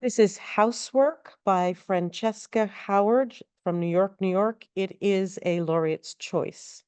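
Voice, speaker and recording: A woman reading poetry aloud in a measured recitation, with deliberate and expressive phrasing. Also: mid-range voice, clear, resonant tone, no echo. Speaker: poetry reader.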